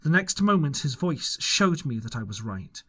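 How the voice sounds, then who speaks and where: A man's voice: fairly high pitch, big pitch swings, moderate pace, a bit bright. A man reading a classic adventure novel aloud as an audiobook, narrating expressively in first person. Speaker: audiobook reader. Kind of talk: storybook narration.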